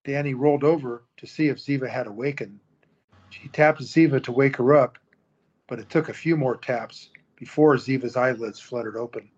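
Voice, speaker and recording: A man reading the narration and scene headings of an audio drama in a measured storytelling manner. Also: medium pitch, breathy edge. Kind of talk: scripted narration. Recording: dull lo-fi recording.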